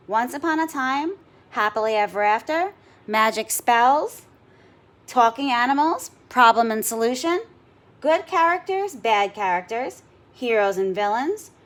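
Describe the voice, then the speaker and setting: Higher-voiced, animated woman teaching children. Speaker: elementary school teacher. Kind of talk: read-aloud lesson for children.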